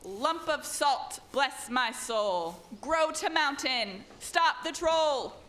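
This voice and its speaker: A woman as a high, slow-chanting magical maid. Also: animated, bright, forceful tone, exaggerated voice. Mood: solemn, spellcasting. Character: resourceful young magical maid chanting spells. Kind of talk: radio play acting.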